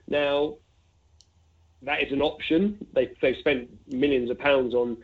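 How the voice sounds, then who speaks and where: A man's voice: mid-range voice, fairly even intonation, takes it slowly. A man being interviewed as an investment fund manager, talking conversationally and thinking aloud with asides and examples over a phone-like line. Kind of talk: conversational market commentary. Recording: narrow, tinny phone-like line.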